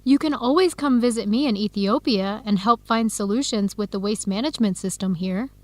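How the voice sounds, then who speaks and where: A woman's voice: mid-range voice, a little fast, dry recording, natural voice. An actress playing a supportive, enthusiastic young woman, a close friend, in an educational radio drama. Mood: supportive, upbeat. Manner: encouraging, enthusiastic.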